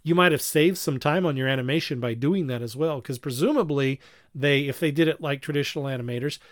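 A man hosting a casual podcast discussion, explaining things in a halting, rambling way and often correcting himself. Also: fairly high pitch, dry recording, studio quality. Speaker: podcast host.

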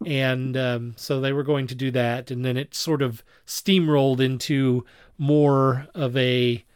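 A man hosting a podcast in a casual review chat, with a halting, rambling delivery in which he often corrects himself. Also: mid-range voice, fairly even intonation, clean tone, no room sound, clean studio sound. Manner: chuckles while talking. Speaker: podcast host.

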